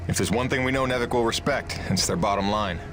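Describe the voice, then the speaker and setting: A man playing a steady, weary rig pilot who leads a rebellion in a game cutscene, plain-spoken and reassuring. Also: lowish voice, quick pace, background noise, lightly acted. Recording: loud music behind the voice.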